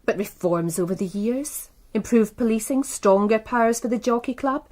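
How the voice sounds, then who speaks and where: A woman's voice: on the high side, moderate pace, darkish tone, lightly acted. A woman playing a proud, worldly businesswoman with an English accent in a British radio crime drama, composed, articulate and coolly self-assured. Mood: cool, knowing. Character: poised, proud, worldly businesswoman running a bookmaking empire.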